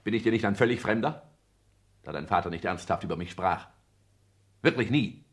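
A man's voice: on the low side, expressive, normal speed, lightly acted. An actor playing a pompous director in his thirties in a 1960s German radio drama, insistent and probing. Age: 30s. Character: self-important 36-year-old company director questioning his bride.

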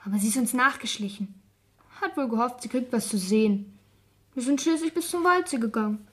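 A woman's voice: a little high, a little fast, close to an everyday voice. A female performer playing a sullen twelve-year-old schoolgirl in a German radio crime drama, blunt, pert and evasive. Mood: defiant, cheeky.

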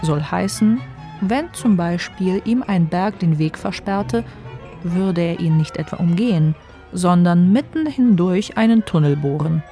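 A woman narrating a scripted radio play in a measured, wry way. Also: fairly low pitch, lively intonation, mellow, soft background music.

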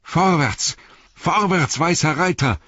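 A man voicing a frightened, excitable young hobbit in a storybook reading, with urgent, breathless exclamations. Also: on the high side, slow pace, no echo, dull audio, voice pushed up. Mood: excited, cheering.

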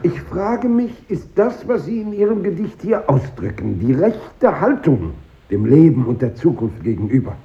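An actor playing an earnest schoolteacher in a 1950s radio drama, formal, probing and insistent. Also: male voice, on the high side, sing-song, moderate pace. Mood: exasperated. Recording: muffled old radio recording.

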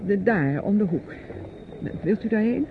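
An old radio play recording, dull with faint noise, of a young niece. She sounds polite and earnest, with animated, informative phrasing at a medium pitch. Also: a little slow. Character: polite, earnest young niece showing someone around town. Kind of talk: radio drama acting.

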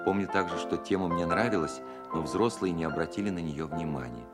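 An actor playing a grown composer who recalls his childhood opera in a radio play, narrating in a wry, expressive way. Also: deep voice, a little fast, natural voice. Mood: reflective. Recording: 1970s studio record with prominent music.

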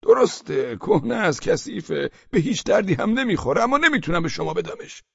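A man's voice: high voice, huge pitch swings, no echo, dull audio, voice pushed up, heavily acted. A man voicing a stubborn, cunning old male village peasant who haggles and insists. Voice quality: raspy, gravelly.

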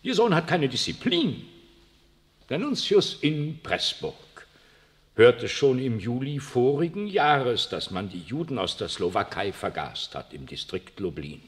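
An actor playing an imperious, evasive aging pope in a 1960s radio drama, speaking in a lofty, cold way and using the royal we. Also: male voice, medium pitch, very animated, unhurried. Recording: long reverb of a large room.

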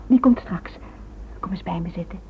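An actress playing an anxious, tender mother in a radio drama, speaking in a hushed, emotional way. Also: fairly low pitch, normal speed, vocal fry, narrow, tinny audio. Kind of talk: Dutch radio drama acting. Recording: thin, noisy old radio recording.

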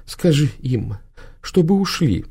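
A man's voice: on the high side, very animated, slow pace, dark tone, slightly rough, pitched above the performer's own voice, heavily acted. A man voicing a terrified young witch woman in agony, pleading in a strained voice.

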